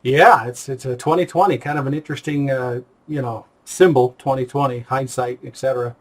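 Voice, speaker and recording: A man's voice: mid-range voice, steady tone, brisk. A man hosting a casual, conversational interview and putting questions to his guest. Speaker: interviewer, host.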